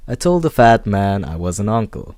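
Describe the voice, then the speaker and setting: A man's voice: lowish voice, big pitch swings, moderate pace, a little mellow, dry recording, studio quality. A man voicing a plain-spoken bartender from a noir story, with a folksy, colloquial way of talking. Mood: insistent.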